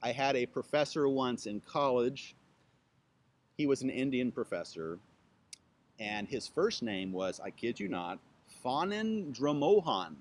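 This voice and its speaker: A man hosting his own channel, chatting playfully to camera outdoors and spelling words out slowly letter by letter. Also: medium pitch, sing-song. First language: English. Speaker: YouTube channel host. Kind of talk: casual self-introduction to camera. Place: outdoors with trees behind.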